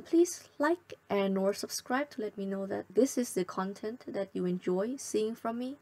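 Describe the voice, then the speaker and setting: A woman's voice: mid-range voice, vocal fry, a little mellow, no echo. Awkward, apologetic woman hosting a game. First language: not English. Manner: self-conscious, apologetic, a little awkward. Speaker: let's play host. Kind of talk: casual gameplay commentary and translation.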